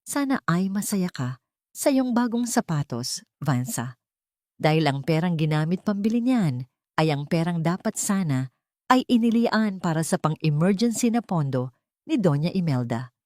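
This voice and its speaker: A woman's voice: lowish voice, very animated, normal speed, a little mellow, no room sound, studio quality. A woman narrator voicing a cold, scornful woman surgeon with a cutting, controlled manner, in an audio story. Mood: icy sarcasm.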